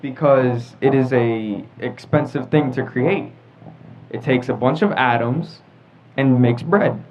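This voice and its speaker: A teenage boy in casual chat, explaining his ideas slowly and deliberately. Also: medium pitch, darkish tone, a little hiss or hum. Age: teens. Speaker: teen panelist. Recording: dull lo-fi sound with faint music.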